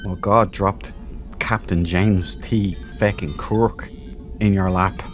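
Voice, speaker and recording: Low-voiced man doing casual game commentary. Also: steady tone, takes it slowly, soft background music. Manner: jokey, cheeky, thinking aloud. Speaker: let's play host.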